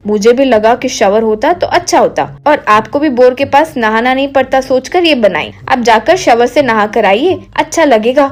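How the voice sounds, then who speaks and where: Woman playing an eager, high-voiced young wife. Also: natural voice.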